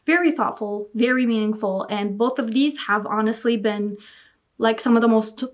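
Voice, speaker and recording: A woman's voice: on the high side, normal speed. A young woman from Iraq chatting casually about books she read, gushing and repeating words like "really" and "very". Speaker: book vlogger. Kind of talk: casual book review chat.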